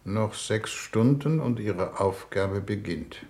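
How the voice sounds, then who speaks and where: An actor playing a grave, shrewd foreign minister in a 1950s radio drama, speaking in a formal, measured, probing way. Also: male voice, fairly low pitch, animated, darkish tone. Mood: quietly knowing. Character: grave, shrewd male foreign minister leading a space mission.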